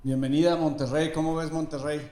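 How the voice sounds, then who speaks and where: A man's voice: on the high side, flat delivery, quick pace, warm tone. A man hosting an onstage interview at a startup event, casual with fillers. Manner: casual, uses fillers like "este". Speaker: interview host. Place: event stage before an audience. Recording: echoey room.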